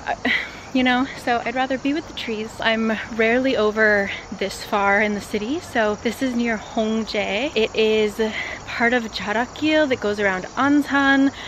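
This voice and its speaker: A young woman with a cold, hoarse and congested, talking quietly to a phone held at arm's length while walking a forest trail. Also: a little high, measured pace, background noise. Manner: quiet, hushed and slightly hoarse. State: congested with a cold, voice partly lost. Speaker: vlogger. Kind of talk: casual vlog talk to camera.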